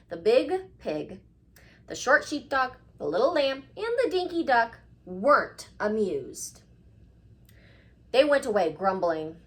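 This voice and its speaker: A woman with a fairly high voice and very lively intonation hosts a read-aloud for kids. She speaks straight to the children in a teacherly way, leaning on key words for emphasis. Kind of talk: expressive storybook reading and talk to children.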